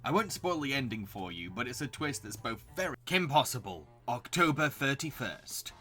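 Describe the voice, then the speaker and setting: A man's voice: mid-range voice, very animated, normal speed, bright, forceful tone, faint music behind. A young man giving enthusiastic scripted review narration with casual asides, as a video host.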